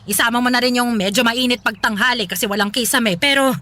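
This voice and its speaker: A woman's voice: a little high, quick pace, bright, forceful tone. A woman acting a harsh, domineering older mother in a radio drama, scolding and mocking.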